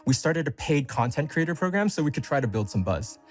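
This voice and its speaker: A man's voice: fairly high pitch, big pitch swings, fairly quick, faint music behind. A man narrating a scripted retrospective as a game developer, speaking in prepared, complete sentences.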